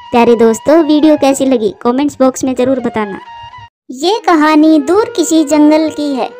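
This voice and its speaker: A woman's voice: sits high, dark tone. A woman storyteller reading scripted narration for a children's animal tale.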